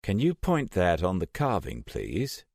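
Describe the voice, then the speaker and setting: A man's voice: sits low, huge pitch swings, measured pace, creaky, darkish tone, no room sound, studio quality, exaggerated voice. A man voicing a driven woman archaeologist who speaks firmly and insistently. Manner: firm, terse and insistent.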